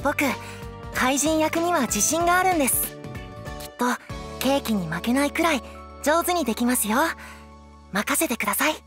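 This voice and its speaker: A little boy lord from a comedy anime. The voice is high and very lively, with a childlike politeness and earnest cheer. Music plays behind it. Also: normal speed, long pauses. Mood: happy, eager. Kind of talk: Japanese voice-anime comedy acting.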